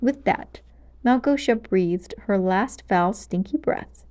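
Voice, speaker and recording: A woman narrating a children's storybook aloud in an expressive, dramatic and clearly paced way. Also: medium pitch, takes it slowly.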